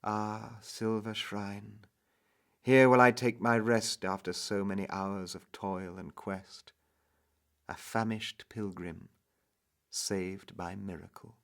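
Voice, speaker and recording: A man playing a tender, ardent young knightly lover in a dramatic recital of romantic poetry, slow and impassioned. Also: fairly low pitch, steady tone, gravelly, a bit bright, exaggerated voice. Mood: adoring. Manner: slow, impassioned, swelling and falling to whispers.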